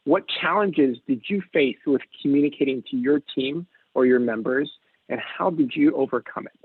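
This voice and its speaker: A man's voice: medium pitch, normal speed. A man moderating a panel discussion, asking questions politely and passing turns to the panelists. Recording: husky tinny phone-like line, dry.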